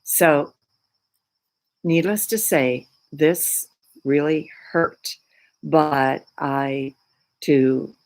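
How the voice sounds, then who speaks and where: A woman from Poland, whose first language is Polish, talking casually about her crystals as a spiritual teacher, pausing often for words. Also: deep voice, a little mellow, low-quality recording. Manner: unhurried, searching for words with many fillers. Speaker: spiritual teacher and Reiki practitioner.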